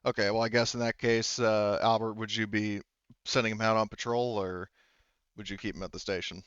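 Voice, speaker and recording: A man with a clean, smooth voice on the low side guides a tabletop roleplaying session. He feels his way through the narration hesitantly and casually. The sound is dry and a little dull. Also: flat delivery, fairly bright tone, no room sound. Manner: halting, hesitant delivery. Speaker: game master. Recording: slightly dull, dry close recording.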